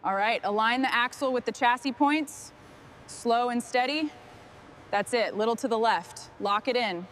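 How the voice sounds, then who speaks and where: Higher-voiced woman over workshop noise. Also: measured pace, fairly bright tone. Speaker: mechanic narrating restoration work. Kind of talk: short hands-on work commentary.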